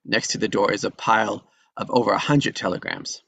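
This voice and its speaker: Low-voiced man reading stage directions. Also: fairly quick, gravelly, a bit bright, a little hiss or hum.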